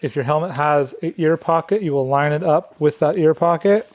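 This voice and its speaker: A man presents a hands-on product tutorial. His voice sits a little higher than usual for a man, and he speaks in the instructional style of a demonstrator going step by step. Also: moderate pace, noisy background.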